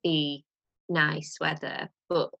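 A young British woman chatting as a podcast co-host, her voice low and gravelly with a slight cold croak. She rambles along with plenty of fillers, recorded close and dry with no room sound. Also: level tone, slow pace, a bit bright, no echo. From Britain. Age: young adult. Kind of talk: casual chat.

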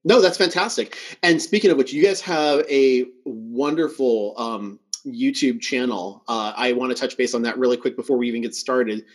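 A man's voice: medium pitch, normal speed, few pauses. A man hosting a podcast in a conversational style, with a welcoming and appreciative manner. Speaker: podcast host.

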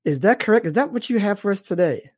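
A man's voice: high voice, animated, fast, husky, no echo. Man, podcast host, enthusiastic, dry sound. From Boston. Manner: upbeat, enthusiastic, repeats "awesome". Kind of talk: interview hosting.

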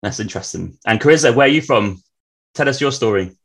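A man hosting a podcast, chatting casually and asking relaxed follow-up questions. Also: mid-range voice, quick pace, vocal fry, breathy edge. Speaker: podcast host. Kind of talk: casual interview chat.

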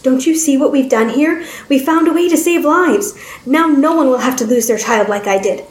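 A woman's voice: sits high, normal speed, roomy sound, slight background noise, voice pushed up, close to an everyday voice. Woman playing an insistent, desperate scientist father. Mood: earnest, insistent. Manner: insistent, emphatic. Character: desperate, protective scientist father, earnest man. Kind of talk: audiobook character voice.

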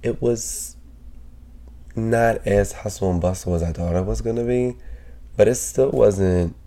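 A man hosting his own podcast, talking casually with a sick, raspy voice, rambling with lots of fillers and swearing. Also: fairly low pitch, unhurried, mellow. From Pennsylvania. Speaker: podcast host. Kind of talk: casual solo podcast chat.

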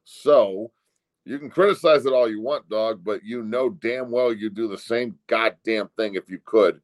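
A man's voice: fairly low pitch, unhurried. A man in his forties with an American accent, co-hosting a sports show with emphatic, profanity-laced casual commentary. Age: 40s. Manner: emphatic, blunt, incredulous ranting.

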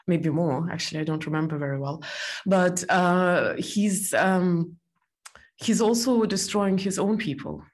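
A woman from Estonia speaks as an interview guest in a low, deep-set voice. Her answers come out measured and unhurried, with frequent pauses, fillers and restarts as she gathers her thoughts.

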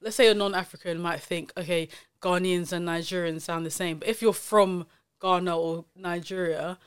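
A woman's voice: fairly low pitch, steady tone, normal speed, clean tone, no echo, clean studio sound. A woman hosting a casual podcast chat, talking loosely with lots of fillers and restarts. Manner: chatty, many fillers and self-corrections. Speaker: podcast host.

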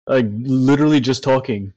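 A man's voice: mid-range voice, normal speed, mellow, no echo, lo-fi sound. A tired man with a Trinidadian accent, talking animatedly and swearing in a casual podcast chat. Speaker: podcast co-host.

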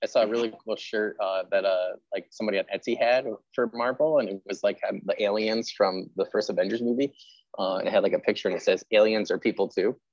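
Low-voiced, quick-talking man chatting. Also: steady tone, darkish tone, no echo, muffled recording. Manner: agreeable, chatty, rambling with many fillers. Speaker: podcast host interviewing a writer. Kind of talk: casual interview chat.

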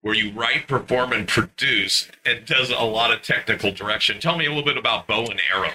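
A man's voice: medium pitch, fairly quick, bright, forceful tone, rough recording. An American man co-hosting a podcast, asking questions and giving plugs in a conversational way. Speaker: podcast co-host.

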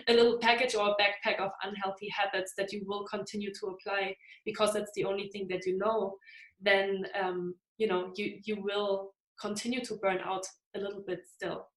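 Woman in an echoey room. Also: medium pitch. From Germany. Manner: thoughtful, rambling with fillers. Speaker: podcast host. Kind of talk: casual interview chat.

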